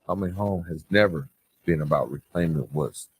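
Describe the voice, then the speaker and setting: A man hosting a podcast, giving motivational advice in an earnest, conversational, gently preaching way with emphatic pauses. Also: sits low, husky, no echo. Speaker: podcast host and author.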